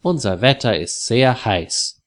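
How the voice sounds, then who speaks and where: A man voicing a polite, cheerful male tourist in a slow storybook for language learners. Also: mid-range voice, animated.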